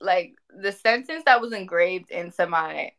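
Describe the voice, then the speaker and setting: A woman in her twenties talking casually on a livestream, animated and emphatic, often repeating phrases for emphasis. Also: fairly low pitch, unhurried. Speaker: spiritual community speaker. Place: indoor room at home.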